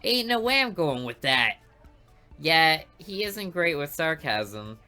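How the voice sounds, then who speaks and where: A woman's voice: low-pitched, sing-song, takes it slowly, sharp tone, soft background music. A woman reading a visual novel aloud for a let's play, with playful asides between the lines.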